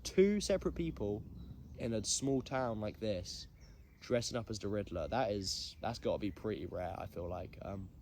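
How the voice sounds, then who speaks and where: A young man with a stuffy, nasal voice from hay fever talks on his own podcast, wandering from topic to topic with lots of ums. His voice sits fairly low for a man. There is a faint room hum behind him. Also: expressive, normal speed, darkish tone. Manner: rambling, self-deprecating, many ums. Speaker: podcast host. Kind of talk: casual solo podcast chat.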